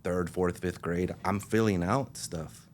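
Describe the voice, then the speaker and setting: Man, from Mexico, low voice, relaxed storyteller, interview guest, laughs easily. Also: unhurried, slight background noise. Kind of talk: casual interview chat.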